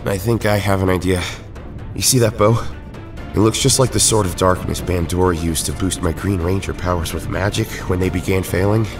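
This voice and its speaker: A deep-voiced heroic leader acted by a man in an audio drama. He speaks firmly and dryly, with earnest resolve, over loud music. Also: steady tone, a little fast. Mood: earnest, resolved. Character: steady, wry heroic male Power Ranger leader.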